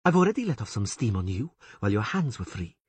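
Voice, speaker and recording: A man's voice: on the low side, sing-song, fast talker, breathy edge, pitched above the performer's own voice. A man reading a story, voicing a cruel, spiteful young female elf warrior who hisses her taunts. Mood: hissing contempt. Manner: hissing, contemptuous taunts.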